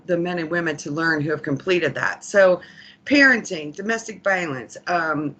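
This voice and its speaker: A woman's voice: lowish voice, fairly bright tone. A woman giving an earnest committee report as a program official, reflective and emphatic, repeating phrases for stress. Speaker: corrections program official presenting.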